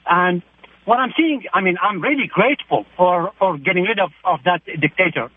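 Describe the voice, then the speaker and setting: A man's voice: sits high, moderate pace, no room sound. Earnest male caller over a phone line. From Iraq. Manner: earnest, searching, plain-spoken. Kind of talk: call-in on talk radio.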